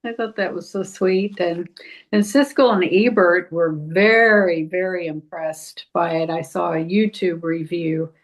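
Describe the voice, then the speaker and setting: A woman's voice: lowish voice, a little slow, poor audio. A woman chatting casually in a group discussion as a film club member.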